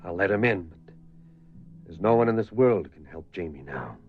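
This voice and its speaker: A man's voice: low-pitched, expressive, moderate pace, narrow, tinny audio. An actor playing a grave, shaken older man, a protective uncle in a radio horror drama. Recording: band-limited radio drama, faint music.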